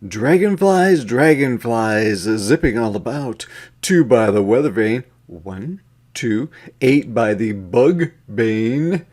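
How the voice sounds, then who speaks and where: A man reading a children's counting book aloud in a deliberate, interactive way, with sing-song counting and repetition for young listeners. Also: mid-range voice, lively intonation, darkish tone.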